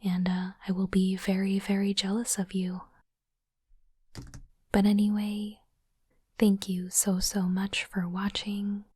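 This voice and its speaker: A woman doing ASMR in a soft, breathy whisper, speaking in a hushed, deliberate way as she hosts an unboxing. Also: mid-range voice, monotone, dry recording, clean studio sound. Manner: slow, deliberate, hushed delivery. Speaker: host of ASMR card unboxing channel. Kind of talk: ASMR whispering, close and gentle narration.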